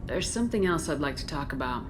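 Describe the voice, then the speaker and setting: A woman's voice: deep voice, expressive, fast talker, background noise. A woman voicing a composed, direct military commander in a video game. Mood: businesslike. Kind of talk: video game dramatic dialogue.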